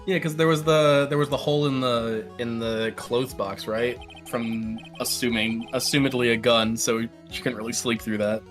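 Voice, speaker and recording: A man's voice: medium pitch, flat delivery, normal speed, few pauses, fairly bright tone, soft background music. A man taking part in a live fan dub, chatting and playing along casually. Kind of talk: casual fan-dub stream chat and role play.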